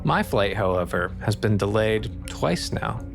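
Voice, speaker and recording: A man playing a weary, annoyed colony engineer in a tabletop game, speaking in a blunt, halting, grudging way. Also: lowish voice, expressive, vocal fry, over music. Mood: weary, bargaining. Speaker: non-player character in a tabletop game.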